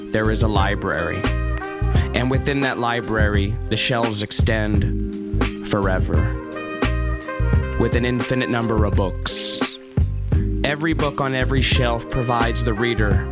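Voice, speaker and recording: A man hosting a radio theater podcast, narrating from a script in a measured storyteller's delivery. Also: on the low side, over loud music. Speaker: podcast host. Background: musical score.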